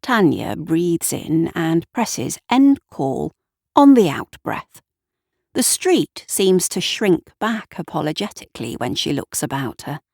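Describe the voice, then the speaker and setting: A woman narrating a dramatic story in a hushed, lyrical, lingering way. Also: low-pitched, sing-song, slow pace, dry recording, clean studio sound.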